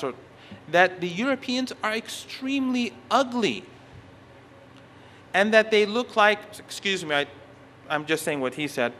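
A man whose first language is Persian speaks with a bright, forceful tone set a little higher than usual. He delivers a lecture at a conference, stopping often to restart phrases and leaning hard on key words. Faint noise from the hall sits behind him. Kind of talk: academic conference talk.

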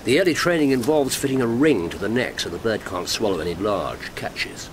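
An actor playing a brilliant, proud detective in a radio drama, with a British accent and articulate, deliberate, emphatic delivery. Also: male voice, on the low side, background noise, natural voice. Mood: calm, courteous. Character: brilliant, proud male detective, precise and relentless.

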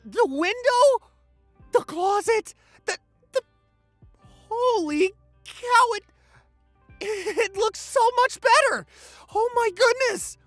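A man acts out a young male vampire boyfriend for an intimate audio roleplay. He is affectionate and easily excited, with a widely swinging pitch, stammers and repeated words. The sound is dry, with faint music behind him. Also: no echo, exaggerated voice. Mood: amazed, overjoyed. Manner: gushing, stammering, repeats himself.

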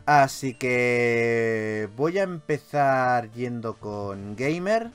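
Animated man from Spain, game commentary. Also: medium pitch, very slow, smooth, faint music behind. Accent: Spanish from Spain. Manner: animated, swears freely. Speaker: gaming video host. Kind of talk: casual gameplay commentary.

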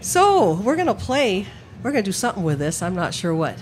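A woman's voice: mid-range voice, very animated, fairly quick, darkish tone, slight background noise. Older woman, hesitant stage talk. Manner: hesitant, wandering, many restarts. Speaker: fiddler panelist. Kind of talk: casual explanation on stage. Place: large tent at outdoor festival. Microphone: stand mic.

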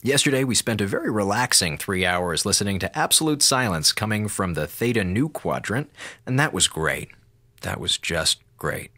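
Wry, low-voiced space slacker. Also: male voice, expressive, normal speed, bright, forceful tone, dry recording. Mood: wry, drawling. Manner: sarcastic, theatrical. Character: wry, slacker space station communications officer, talkative and irreverent. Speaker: actor playing a communications officer. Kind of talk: radio drama monologue as a log.

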